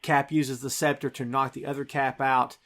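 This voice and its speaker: A man's voice: mid-range voice, flat delivery, normal speed, a bit bright. Enthusiastic man recapping a film. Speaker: podcast host.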